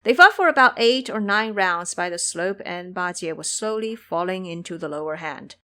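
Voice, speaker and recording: A woman's voice: on the low side, lively intonation, moderate pace, sharp tone. A woman reading scripted storybook narration for an audio drama.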